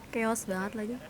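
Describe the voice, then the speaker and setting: Woman gamer, casual chat, medium pitch, clearly noisy rumbling background. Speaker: gamer streaming a roleplay game.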